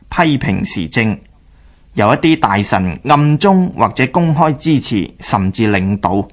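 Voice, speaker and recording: A male narrator presents history for radio in Hong Kong Cantonese. His voice sits at a middle pitch, and he explains things formally, in measured scripted sentences.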